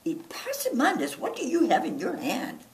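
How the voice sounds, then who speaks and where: A woman voicing an exasperated possum mother in a children's storybook, scolding in an emphatic, drawn-out way. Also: high voice, huge pitch swings, voice pushed up, exaggerated voice. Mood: questioning. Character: scolding, exasperated, doting possum mama with Southern folksy talk. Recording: roomy, distant mic, faint noise.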